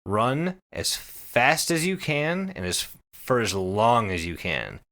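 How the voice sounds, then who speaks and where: Animated man podcasting, bright voice. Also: medium pitch, slow pace. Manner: animated, sweary, conversational. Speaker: podcast host. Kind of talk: casual comedic explainer with friends.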